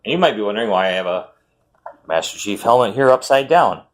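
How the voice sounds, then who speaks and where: A man, chatting casually and rambling about a game haul with lots of ums and self-corrections; he says his voice runs fairly high. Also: animated, fast. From Wisconsin, US. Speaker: retro game collector vlogger.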